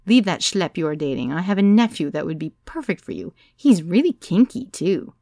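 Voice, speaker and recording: A woman doing a brash teasing older Greek woman, loves matchmaking, gravelly low voice with a pitch that jumps up when she jokes. Dry, close recording with no room sound. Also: very animated, brisk, dark tone, no room sound.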